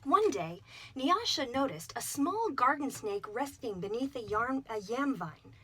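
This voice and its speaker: A woman reads a children's storybook aloud, her voice somewhat high and clearly expressive. She tells the tale at an even, warm storyteller's pace, giving each line careful shape. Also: a little slow, slight background noise.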